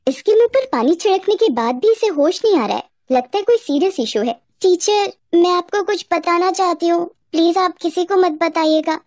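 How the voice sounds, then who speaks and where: A woman's voice: very high voice, animated, moderate pace, few pauses, a little mellow, no room sound, studio quality, pitched above the performer's own voice. A woman voicing a cautious, protective teenage schoolgirl in a cartoon story, earnest and admonishing. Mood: worried.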